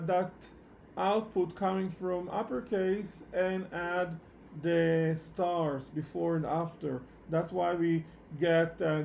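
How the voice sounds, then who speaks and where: Slow, high-voiced male non-native English instructor. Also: gravelly, darkish tone, slight background noise. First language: not English. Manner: halting, hesitant phrasing with repeated words. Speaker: programming instructor. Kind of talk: live online technical lecture. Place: online meetup session at a computer.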